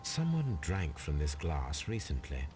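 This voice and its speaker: An actor playing a calm, analytical gentleman detective in a video game, musing aloud in measured, precise deductions. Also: extremely low pitch, noisy background, exaggerated voice. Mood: observant. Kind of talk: video game character voice acting, deliberate deductive monologue.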